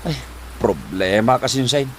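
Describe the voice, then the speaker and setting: A man's voice: medium pitch, big pitch swings, unhurried. A man acting a worried, debt-ridden husband in an Ilocano comedy drama, with an Ilocano accent and a flustered, stammering, sighing manner. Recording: noticeable background noise.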